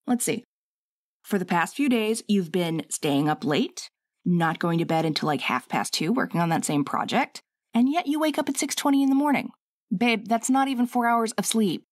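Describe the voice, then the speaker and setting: A woman's voice: mid-range voice, dry recording, studio quality, lightly acted. A woman playing a bossy, sassy but loving wife in an intimate ASMR audio roleplay, talking in a conversational, scolding and coaxing way.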